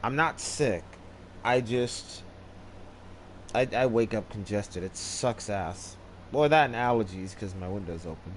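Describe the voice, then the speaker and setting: A man's voice: fairly low pitch, lively intonation, slight background noise. Congested man narrating gameplay. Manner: rambling, halting, with fillers. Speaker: gaming channel host. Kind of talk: casual let's play commentary. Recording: game music loud behind.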